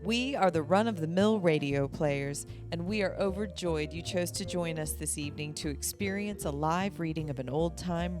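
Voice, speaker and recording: A woman giving a formal scripted introduction as host of a radio drama troupe. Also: low-pitched.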